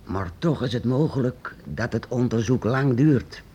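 An actor playing a secretive, eccentric master painter-inventor in a radio play, hesitant and halting, then urgent. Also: male voice, medium pitch, expressive, dark tone, lo-fi sound, slight background noise, lightly acted. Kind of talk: radio drama acting.

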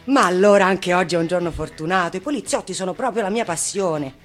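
A woman's voice: on the low side, pitched above the performer's own voice, lightly acted. Woman voicing a cheeky, exuberant little girl. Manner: playful, defiant, quick to exclaim. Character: cheeky, fearless, exuberant nine-year-old girl.

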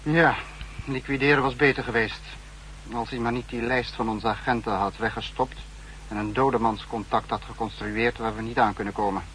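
An actor playing a calculating spy chief, speaking with measured, superior authority in a 1960s radio drama. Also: male voice, mid-range voice, fairly even intonation, fairly bright tone, natural voice. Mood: cool, businesslike. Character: calculating, authoritative male spymaster, head of secret service. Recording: faintly noisy 1960s radio recording.

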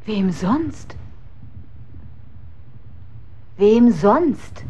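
A woman's voice: medium pitch, expressive, very slow, mellow, husky, exaggerated voice. An actress playing a worried, scolding middle-aged mother of olden times in a radio drama, probing and archaic in her wording. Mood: scolding, alarmed.

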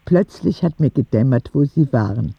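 Husky frank, cheeky boy narrator. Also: medium pitch, lively intonation, moderate pace, no room sound, highs cut off. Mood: hushed, shaken. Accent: standard German. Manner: candid, wry, conversational storytelling. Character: ten-year-old sick boy Oskar, frank, cheeky, telling his story. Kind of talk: audio drama narration as letters to God.

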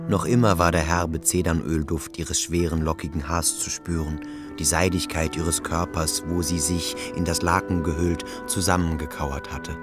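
Sehr tiefer männlicher Erzähler über Musik. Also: male voice, rumbling low, steady tone, moderate pace. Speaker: narrator. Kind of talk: literary radio play narration. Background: music.

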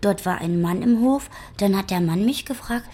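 A woman playing a simple-minded, childlike female camp inmate in an audio drama, speaking in short, plain, dreamily matter-of-fact statements with a slightly husky voice. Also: medium pitch, moderate pace, mellow, natural voice. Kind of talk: literary audio drama dialogue.

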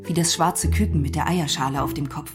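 A woman playing a firm, weary mother in a children's radio play, speaking in a measured way. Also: low-pitched, fairly even intonation, music in the background, close to an everyday voice. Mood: reminiscing.

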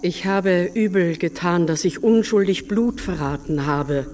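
A man's voice: high-pitched, a little slow, a little mellow, a little hiss or hum. An actor playing an agitated man of the crowd in a radio drama. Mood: anguished.

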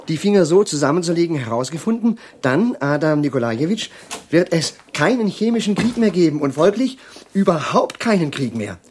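An actor playing a polite, idealistic and absent-minded professor in a German radio drama, speaking formally and haltingly. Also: male voice, on the high side, a little mellow, a little hiss or hum, natural voice.

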